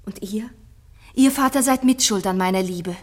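An actress playing a passionate, devoted and defiant young princess in a classic radio play, declaiming with intensity. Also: female voice, mid-range voice, normal speed, a little mellow, close to an everyday voice. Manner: declamatory, intense, dropping into breathy whispers.

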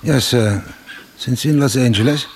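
An actor playing a weary, brooding police detective in a noir radio drama, terse and murmuring, with a gravelly voice. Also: male voice, normal speed, noisy background, lightly acted. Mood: businesslike. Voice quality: low, gravelly, often murmured. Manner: terse, brooding, muttering to himself. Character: weary, brooding male homicide detective sergeant in Los Angeles. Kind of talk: noir radio drama, clipped first-person monologue.

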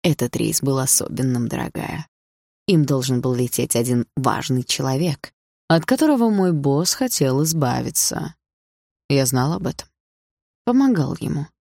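A woman's voice: very deep voice, moderate pace, creaky, a little mellow, slightly rough, no echo, studio quality, exaggerated voice. A woman voicing a menacing, obsessive and smug husband with an insinuating delivery in an audiobook. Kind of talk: audiobook character dialogue.

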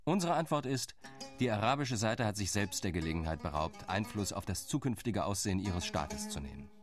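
A man's voice: lowish voice, lively intonation, fairly quick, soft background music, close to an everyday voice. A man playing a determined partition lobbyist. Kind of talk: radio play monologue.

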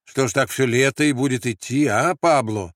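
A man's voice: medium pitch, normal speed, no room sound, clean studio sound, voice pushed up, natural voice. A man voicing a weary, restrained foreign soldier in an audiobook, with an ironic manner. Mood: probing.

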